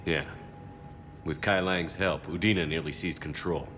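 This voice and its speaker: A man playing a steadfast military commander in a video game, speaking in a measured, grave manner. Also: low voice, steady tone, a bit bright, a little hiss or hum. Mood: serious, questioning.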